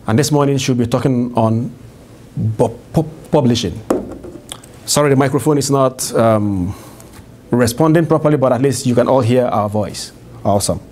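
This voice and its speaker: A middle-aged man speaking as an event host, introducing a speaker in formal, measured, emphatic phrases in an indoor room. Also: mid-range voice, darkish tone, a little hiss or hum. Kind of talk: public address, introduction.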